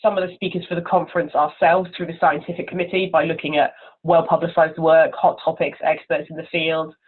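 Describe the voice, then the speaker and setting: A woman's voice: deep voice, little pitch movement, brisk, slight background noise. A woman giving informal, encouraging interview answers as a conference chair over the telephone. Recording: narrow, tinny telephone line.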